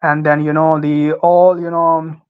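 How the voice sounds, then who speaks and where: A young man from Pakistan with a Pakistani accent, Urdu his first language, giving halting advice as a guest with many fillers and restarts. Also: a little high, little pitch movement, clean tone, phone-quality sound. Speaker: guest, former student. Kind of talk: casual interview advice.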